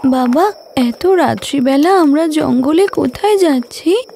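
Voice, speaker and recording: Woman voicing a plaintive, high-pitched little village girl. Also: animated, a little slow, creaky, mellow, a little hiss or hum, full character voice. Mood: puzzled. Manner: plaintive, pleading to father. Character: hungry, whining five-year-old village girl.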